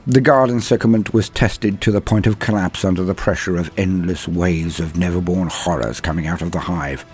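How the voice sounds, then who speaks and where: A man narrating a war fantasy tale in a solemn, theatrical way, with weighty pauses and a husky voice. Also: deep voice, fairly even intonation, soft background music. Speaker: storyteller, channel narrator.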